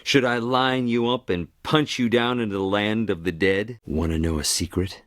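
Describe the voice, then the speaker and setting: A man's voice: lowish voice, fairly even intonation, normal speed, no echo, studio quality, lightly acted. An actor playing a flippant, gossipy messenger god of the Greek underworld in a video game, speaking as a theatrical showman who cheerfully lies to his audience. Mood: conspiratorial. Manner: flippant, showman-like, breaking the fourth wall. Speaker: guide to the underworld.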